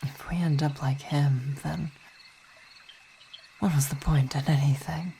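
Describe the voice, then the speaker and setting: A woman as a deep-voiced, weary vampire queen. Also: fairly even intonation, slight background noise. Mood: worried, earnest. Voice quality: soft, often whispered. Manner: halting, reflective, sighing. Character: weary, devoted female vampire ruler and wife. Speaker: ASMR roleplay performer. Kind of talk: ASMR roleplay.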